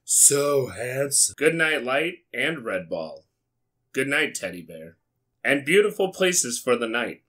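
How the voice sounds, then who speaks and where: An American man hosting a comedic read-aloud, dropping wry, sweary, deadpan asides in a casual way. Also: mid-range voice, slow pace. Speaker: YouTube host. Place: small indoor studio with foam panels.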